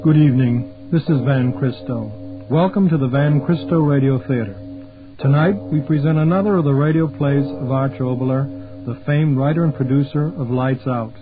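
A man's voice: mid-range voice, moderate pace. Theatrical male announcer over strong music. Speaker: radio show announcer. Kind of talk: theatrical scripted introduction. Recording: very muffled, phone-like sound. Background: prominent music.

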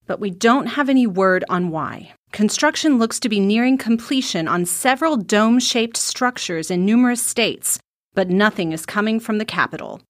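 A woman playing a composed television news anchor, reading the news in a measured, formal way. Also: mid-range voice, lively intonation, natural voice.

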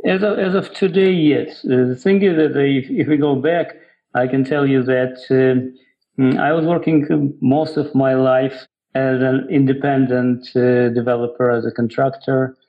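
A middle-aged man with an Eastern European accent and a gravelly, creaky voice answers as an interview guest. He explains things in a halting, reflective way. The sound is a little dull and lo-fi. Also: mid-range voice, steady tone. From Ukraine. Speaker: guest, software developer.

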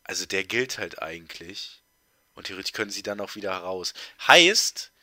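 A man's voice: sits low, expressive, normal speed, bright, forceful tone, no echo, studio quality. A teenage boy co-hosting a casual podcast chat, congested with a heavy cold, rambling with lots of fillers and restarts. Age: teens. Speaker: podcast co-host.

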